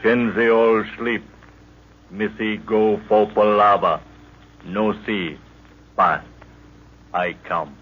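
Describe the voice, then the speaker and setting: Huge, slow-spoken warrior prince, terse. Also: male voice, on the low side, steady tone. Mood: grave, terse. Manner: clipped broken English mixed with Swahili phrases. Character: huge native Maasai prince, loyal tracker, terse. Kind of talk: 1940s radio adventure acting. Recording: muffled old radio recording.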